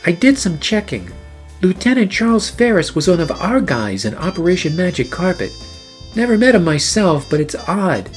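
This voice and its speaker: A man's voice: high-pitched, very animated, normal speed, faint music behind. A man playing a genial army captain in a noir radio play, familiar and matter-of-fact like an old buddy.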